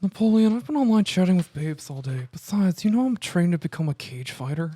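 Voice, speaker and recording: A man reads a nerdy, self-satisfied slacker in a comedy. His voice sits a little high, and he brags and taunts smugly. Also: expressive, fairly quick, warm tone. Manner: taunting, boastful. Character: smug, nerdy, bragging grown-up slacker brother. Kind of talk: comedy script read.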